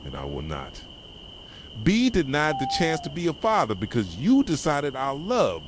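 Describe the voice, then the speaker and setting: A man's voice: fairly high pitch, huge pitch swings, a little slow, noisy background. A man playing a jealous, obsessive, heartbroken boyfriend in an intense, accusing ASMR roleplay addressed to the listener. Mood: anguished, desperate. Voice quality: soft voice. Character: jealous, obsessive, heartbroken yandere boyfriend confronting his partner.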